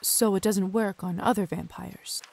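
An actress playing an earnest, hesitant young gentlewoman who is a new vampire, in video game style. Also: mid-range voice, fairly even intonation, unhurried. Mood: curious, doubtful.

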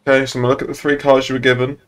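A man's voice: medium pitch, level tone, very fast, a bit bright. A young British man chatting casually and informally while roleplaying as a moderator in an online game. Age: young adult. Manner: informal, sweary. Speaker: streamer, server moderator.

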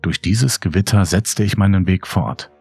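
A man's voice: low voice, normal speed, a little mellow, husky, no echo. A man reading a scripted horror story as a dramatic narrator. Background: music under the voice.

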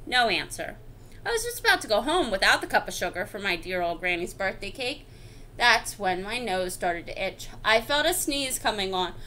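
A woman's voice: mid-range voice, big pitch swings, normal speed, pushed and bright, slight background noise, voice pushed down. A woman voicing an indignant, self-justifying wolf telling his side of a picture-book story in a chatty, confiding, theatrical way. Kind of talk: expressive picture-book read-aloud.

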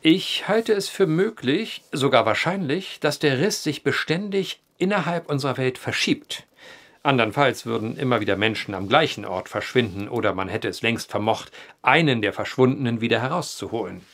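An actor playing an earnest, methodical man who investigates vanishings, speaking formally in old-fashioned, measured phrases in a horror audio drama. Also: medium pitch, lively intonation, a bit bright. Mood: earnest, deliberate.

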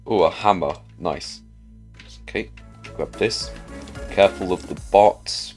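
A man's voice: low-pitched, takes it slowly, soft background music. A man giving casual gameplay commentary, chatting and thinking aloud as he plays. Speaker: gamer narrating own play.

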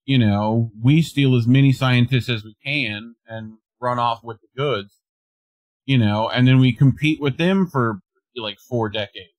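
A man's voice: medium pitch, measured pace, dry recording, poor audio. Dry-sounding American man co-hosting a news show. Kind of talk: reading an article aloud, casual commentary.

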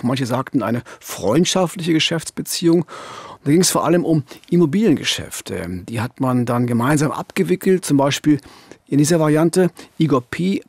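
A middle-aged man presenting a true-crime case in a deliberate, emphatic, conversational narration on a studio microphone. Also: a little high, darkish tone, breathy edge, dry recording. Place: indoor radio studio. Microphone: studio boom microphone.

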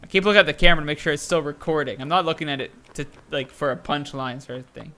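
A man's voice: fairly high pitch, fast. A young man on a headset giving casual, jokey commentary while playing a shooter, talking back to the game. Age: young adult. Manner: excitable, jokey, talks to the game. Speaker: gaming streamer. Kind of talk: casual let's-play commentary. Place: small room at a desk.

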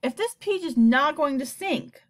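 A woman's voice: high-pitched, expressive, a little slow, voice pushed up. A woman voicing a gloomy, blind male earthworm in a storybook, complaining and snapping in melodramatic despair. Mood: doomful.

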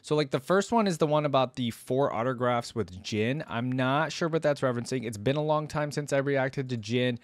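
A man's voice: medium pitch, moderate pace, few pauses, no room sound. Chatty young man, dry close mic. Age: young adult. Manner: informal, rambling, chatty. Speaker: YouTube reaction host. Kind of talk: casual reaction commentary to camera. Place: indoor room at a desk. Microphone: close desktop microphone, dry.

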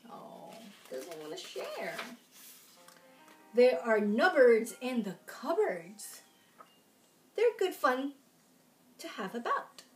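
High, animated young woman reading to children. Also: slow, pauses often, soft background music. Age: young adult. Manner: expressive, sing-song, addressing young children. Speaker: children's storytime reader in princess costume. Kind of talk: read-aloud children's storytime with asides. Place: small room at home, indoors.

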